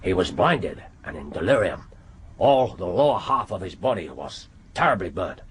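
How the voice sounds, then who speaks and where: An actor playing a commanding, wry older Japanese intelligence chief in a radio drama, with a Japanese accent and formal, deliberate phrasing. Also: male voice, low voice, sing-song. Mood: grave. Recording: noisy radio drama recording.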